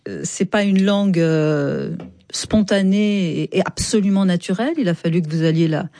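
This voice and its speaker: A woman hosting a radio interview, asking questions in a measured, curious way. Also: fairly low pitch, mellow, no room sound. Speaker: radio host.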